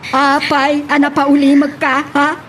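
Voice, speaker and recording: Stern, high-voiced Ilocano mistress played by an actress. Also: female voice, monotone, normal speed, a bit bright, distant mic, a little hiss or hum. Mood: bossy, irritated. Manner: scolding, commanding. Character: stern, bossy, wealthy lady of the house. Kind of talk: Ilocano melodrama acting.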